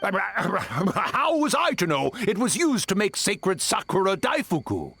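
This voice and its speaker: An actor playing a cheerful, boastful old raccoon-dog spirit in a video game, theatrical and evasive in manner. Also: male voice, sits high, huge pitch swings, unhurried, creaky, pushed and bright, a little hiss or hum, full character voice. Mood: defensive, boastful. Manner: blustering, theatrical, wheedling. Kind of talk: game voice acting.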